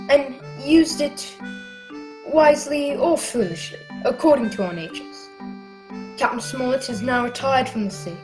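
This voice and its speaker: An earnest storyteller voiced by a woman recalls an adventure in scripted radio-play narration. The voice is high and dramatic, with clear music behind it. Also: rough recording.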